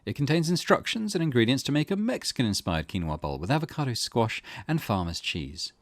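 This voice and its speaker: A man's voice: medium pitch, sing-song, a little fast, no room sound. A man hosting a let's play, reading game text aloud and commenting on it in a thoughtful, musing way.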